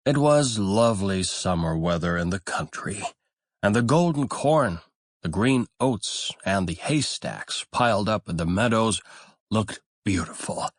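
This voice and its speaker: A man narrating a fairy tale as a scripted audiobook, in a measured, expressive storytelling manner. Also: lowish voice, no echo.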